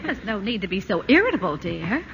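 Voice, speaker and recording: An actress playing a fussy, witty suburban wife and mother in a 1940s radio play, precise with her instructions and quick to laugh. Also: medium pitch, very animated, gravelly, highs cut off. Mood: teasing. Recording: hissy old radio broadcast.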